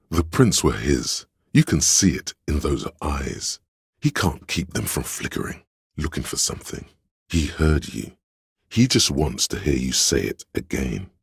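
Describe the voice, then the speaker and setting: An actor playing a flamboyant, sly inner voice of drama in a video game, speaking like a courtly servant with a theatrical, arch manner and a husky, gravelly delivery. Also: male voice, rumbling low, no room sound, clean studio sound, heavily acted. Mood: sly, urging.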